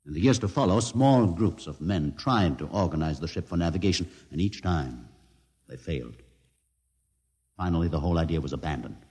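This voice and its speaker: An actor playing a solemn mutant leader with a twisted leg, speaking gravely and deliberately in a 1950s radio drama. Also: male voice, low voice, animated, a little mellow. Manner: slow, grave, dramatic storytelling. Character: aged mutant leader, lame, solemn and wise. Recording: old radio broadcast with long reverb.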